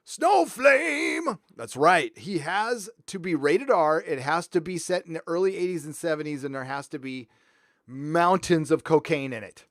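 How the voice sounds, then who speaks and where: A middle-aged man from Southern California hosting a livestream, chatting casually and reading donations, loud and animated, swinging from mumbles to shouts. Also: fairly high pitch, measured pace, no room sound, studio quality. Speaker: livestream host.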